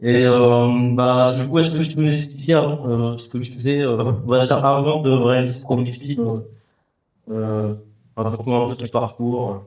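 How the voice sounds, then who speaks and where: A man interviewing a filmmaker at a festival, asking questions hesitantly with many filler pauses. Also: mid-range voice, little pitch movement, darkish tone. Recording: echoey room with faint music.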